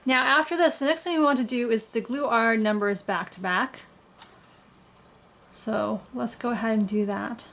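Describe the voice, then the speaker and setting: A woman with a gravelly, fry-heavy voice, still getting over a cold. She explains a paper craft one step at a time in a plain, patient way, like a blogger filming her own tutorial. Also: on the high side, normal speed, fairly bright tone, a little hiss or hum.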